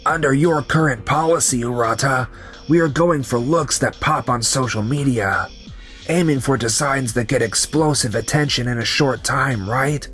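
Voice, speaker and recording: A man's voice: mid-range voice, normal speed, a bit bright, close to an everyday voice. A man voicing young company men in a manga dub, with narration, over background music. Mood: earnest, explanatory. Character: betrayed young company founder, calm and resigned, and his coworkers. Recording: music under the voice.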